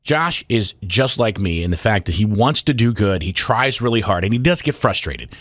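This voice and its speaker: A man's voice: on the low side, animated, moderate pace, a bit bright, no room sound. A middle-aged man hosting a morning radio show, telling comic stories and bantering on a close studio microphone. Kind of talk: animated comic storytelling and banter.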